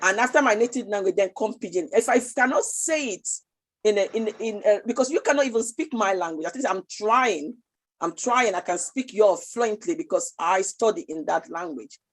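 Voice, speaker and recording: Nigerian woman co-host, passionate and emphatic, repeats herself for stress, mid-pitched everyday voice. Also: expressive, normal speed. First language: Nigerian language. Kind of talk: casual talk show chat.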